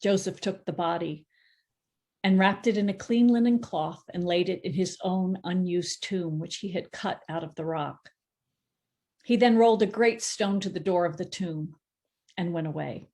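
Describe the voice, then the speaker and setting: A woman reading scripture aloud as narrator in a church dramatic reading, in a steady, measured way with the odd self-correction. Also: on the low side. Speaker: scripture reader.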